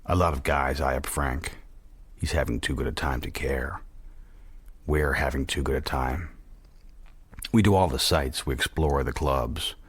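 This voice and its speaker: An actor playing a worldly, watchful man narrating a radio drama in the first person, introspective, measured and dry. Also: very deep voice, husky, dry recording, heavily acted. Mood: wry, content. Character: worldly, reflective, watchful male narrator-protagonist.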